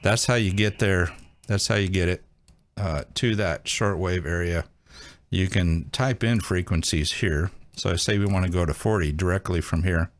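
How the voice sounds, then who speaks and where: A man's voice: deep voice, moderate pace. A man hosting a casual hands-on how-to, talking informally and thinking aloud as he demonstrates each step. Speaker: host of a radio how-to channel.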